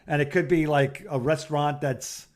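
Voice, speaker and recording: A man's voice: on the high side, level tone. Male co-host, informal chat, down-home phrasing, hesitant and meandering delivery. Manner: halting, folksy, rambling. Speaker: podcast co-host. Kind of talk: casual phone chat.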